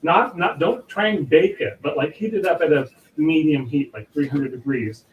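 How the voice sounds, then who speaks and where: A man's voice: on the high side, moderate pace, phone-quality sound. A man hosting a casual live cooking demo, rambling with lots of fillers and restarts, in a home kitchen. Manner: says he has a loud voice. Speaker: cooking show host.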